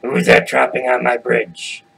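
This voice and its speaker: A man's voice: medium pitch, flat delivery, measured pace, creaky, fairly bright tone, slight background noise, rough recording. A man voicing a greedy, grumpy troll in a storybook tale, blunt and demanding. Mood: menacing. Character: greedy, grumpy bridge troll, menacing and gullible.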